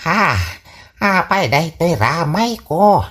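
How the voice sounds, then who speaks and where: A man's voice: on the high side, expressive, slow pace, fairly bright tone, heavily acted. A man playing an amorous, easily flustered old father in an Ilocano comedy radio drama, with an Ilocano accent and a whining, exclaiming delivery. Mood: pained, alarmed.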